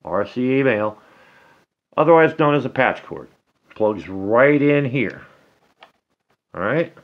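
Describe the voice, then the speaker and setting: A middle-aged man giving a casual hands-on repair tutorial at a table indoors, talking slowly and haltingly, half to himself as he works. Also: medium pitch, lively intonation, muffled recording. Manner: slow, halting, talks to himself while working. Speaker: DIY hobbyist demonstrating a repair.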